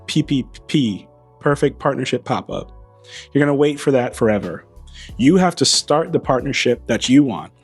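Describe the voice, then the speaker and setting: A man hosting an aviation show, talking casually and wryly, a little hoarse from losing his voice, recorded beside a plane in a hangar on a small wireless mic. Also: mid-range voice. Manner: wry, playful, lively delivery. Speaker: aviation channel host. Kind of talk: casual monologue to camera.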